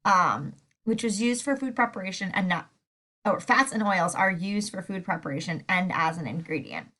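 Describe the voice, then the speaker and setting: A woman teaching a recorded food science lecture while stuffed up with a sore throat, relaxed and full of fillers. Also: medium pitch, normal speed. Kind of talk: recorded lecture over slides.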